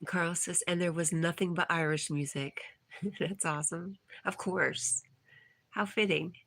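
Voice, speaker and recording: A woman's voice: on the low side, steady tone, unhurried, fairly bright tone. A woman hosting a casual livestream, reading viewer comments aloud and replying in a chatty way. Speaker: livestream host.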